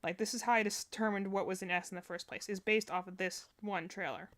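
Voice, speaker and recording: A woman's voice: medium pitch, steady tone, brisk, fairly bright tone. Enthusiastic woman streamer, casual commentary. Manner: enthusiastic, gushing. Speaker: streamer reacting to game trailers.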